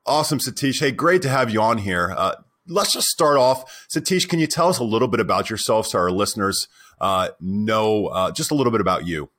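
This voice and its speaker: A man's voice: fairly low pitch, lively intonation, a little fast, fairly bright tone. A man co-hosting a podcast, friendly and enthusiastic as he asks his guest questions. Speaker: podcast co-host. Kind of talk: casual podcast interview.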